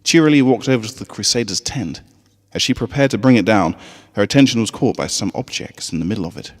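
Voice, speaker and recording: Guy reading a story aloud, really animated voice, big echo on it. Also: lowish voice, fast. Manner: expressive. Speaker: fan fiction reader. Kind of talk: dramatic scripted story narration.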